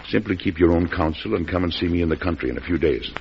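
Deep-voiced weary president, old radio. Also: male voice, fast talker, creaky. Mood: calm, shrewd. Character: weary, suspicious American president, blunt with family. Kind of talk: old-time radio drama acting. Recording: dull, noisy old radio broadcast.

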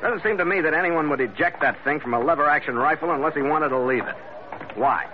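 A man's voice: fairly high pitch, fast, natural voice. A man acting a cool, shrewd skipper turned detective in an old radio drama, with a clipped, wry, hard-boiled delivery. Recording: muffled, noisy old radio recording.

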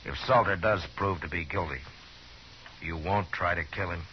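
An actor playing a steady, laconic frontier lawman with measured, understated delivery in 1950s radio Western style. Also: low voice, lightly acted. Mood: firm, sympathetic. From American. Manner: measured, understated, terse questioning. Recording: muffled, hissy 1950s radio recording.

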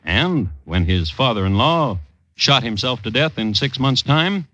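A man's voice: mid-range voice, lively intonation, fairly bright tone, no echo. A man playing a dry, precise crime-story chronicler and host, narrating formally and wryly in 1950s radio style. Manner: measured, wry, formal storytelling. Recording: dull old radio recording.

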